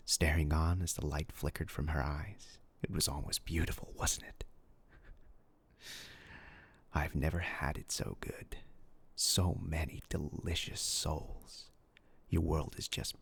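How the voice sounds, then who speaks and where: Very deep, gravelly, menacing demon. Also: male voice, full character voice. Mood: cruel taunting, then ravenous. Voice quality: gravelly, husky, breathy with whispered edges. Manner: slow, menacing, mock-polite, savouring pauses. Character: sinister male demon possessing a human body, hungry and taunting. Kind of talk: dark fantasy roleplay voice acting.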